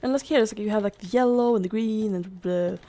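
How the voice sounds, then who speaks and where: A woman's voice: mid-range voice, fairly quick, warm tone. A young woman chatting casually over gameplay, rambling and saying "like" constantly, her voice strained and giving out. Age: young adult. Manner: rambling, fills every gap with "like". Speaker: gaming YouTuber.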